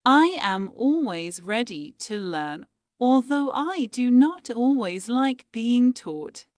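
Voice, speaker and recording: A woman reads quotations in a slow, deliberate, measured way, pausing after each phrase. Her voice sits a bit high and moves up and down a lot. The sound is dry and close. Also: sing-song, a little mellow, no room sound. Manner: measured, deliberate reading with pauses between phrases. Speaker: quote reader. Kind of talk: slow scripted quote reading.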